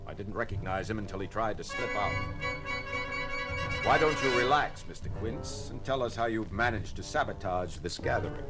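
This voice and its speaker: A man's voice: fairly low pitch, lively intonation, normal speed, over music, natural voice, rough recording. A man playing a logical alien science officer in an audio drama, speaking in a formal, precise, dryly ironic manner. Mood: dry, measured.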